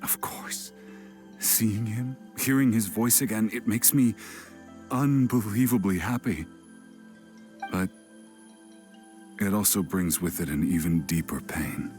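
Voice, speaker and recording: An actor playing a grieving, regretful warrior in a video game, with a measured, weary and reflective delivery. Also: male voice, lowish voice, a little mellow, soft background music. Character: grieving, regretful adult male warrior, sombre and steadfast.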